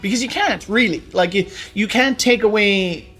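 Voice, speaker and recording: A man's voice: sits high, normal speed, music in the background. A man co-hosting a podcast in casual, conversational chat about films and games. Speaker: podcast co-host.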